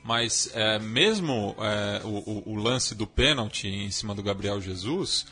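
A man on a sports talk panel, asking questions haltingly with many restarts. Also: lowish voice, bright, forceful tone, slightly rough, soft background music. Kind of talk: casual sports chat.

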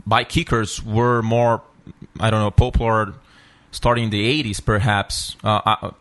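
A man's voice: on the low side, level tone, unhurried, fairly bright tone. Hesitant male interviewer. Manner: hesitant, stammering starts. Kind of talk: casual interview questions.

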